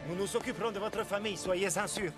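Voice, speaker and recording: A man playing a proud, formal medical professor in an animated series, declaiming with dignity. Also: high-pitched, quick pace, close to an everyday voice. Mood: reassuring. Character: proud, outraged male medical professor, loyal to colleagues. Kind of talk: French animated series dub acting. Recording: long hall reverb, faint music.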